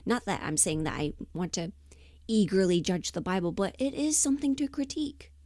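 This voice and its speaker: A woman chatting casually and emphatically on a livestream, rambling in an animated, opinionated way. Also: mid-range voice, brisk. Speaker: livestream host and voice performer.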